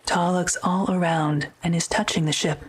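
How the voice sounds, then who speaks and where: A woman narrating a scripted audio drama in a measured, descriptive way. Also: fairly low pitch, fairly even intonation, breathy edge.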